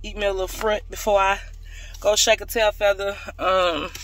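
Bright-voiced young woman vlogging. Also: medium pitch, steady tone, moderate pace, a little hiss or hum. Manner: conversational, chatty, folksy. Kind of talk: casual vlog chat to camera. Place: inside a parked car. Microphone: phone at arm's length.

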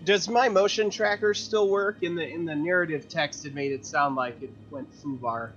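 A man's voice: on the high side, normal speed, a bit bright, a little hiss or hum. A man chatting casually as a player in a tabletop roleplaying game. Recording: dull lo-fi audio with music.

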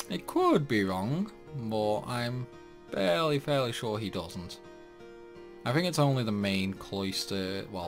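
A man giving casual, rambling commentary while playing a video game. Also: on the low side. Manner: chatty, rambling, animated. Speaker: gaming YouTuber.

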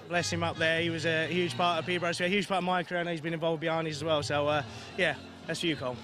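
A hoarse male team captain giving a post-race interview outdoors at a stadium, breathless and elated. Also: a little high, level tone, rapid-fire, over music.

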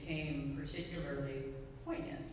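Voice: mid-range voice, fairly even intonation, normal speed. Echoey sermon from a middle-aged pastor. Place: church sanctuary pulpit, indoors. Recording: very echoey hall, distant mic, faint noise.

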